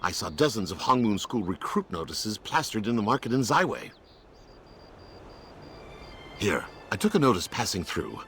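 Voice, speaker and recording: A man voicing a kindly, formal martial arts elder in a video game, measured, courteous and earnest. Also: lowish voice, very animated, a bit bright, a little hiss or hum. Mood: grave, earnest.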